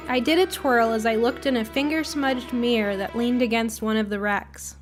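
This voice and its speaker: Female storyteller, slightly high pitch, scripted short-story narration, soft music underneath. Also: fairly even intonation, moderate pace.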